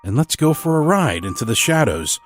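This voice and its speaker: A man's voice: fairly high pitch, sing-song, moderate pace. A man speaking as host, giving a scripted introduction to an audio drama podcast. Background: music.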